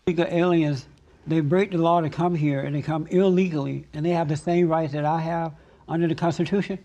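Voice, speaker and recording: Blunt male host, dark gravelly voice, confrontational questioning. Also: a little high, steady tone, fairly quick, lo-fi sound. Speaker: talk show host.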